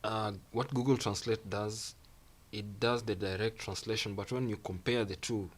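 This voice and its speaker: A man's voice: fairly low pitch, moderate pace. A young man from Kenya, a student and guest, speaking casually and acting out the reactions he quotes. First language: Swahili. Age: young adult. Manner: relaxed, repeats phrases, acts out quoted reactions. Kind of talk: casual conversational interview. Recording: faint background noise.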